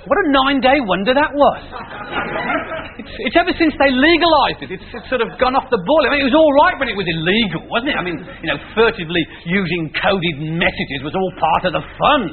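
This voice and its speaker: A man's voice: sits high, expressive, fairly quick, some chatter behind. A man delivering a wry, mocking comic monologue. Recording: tinny band-limited, noisy, audience behind.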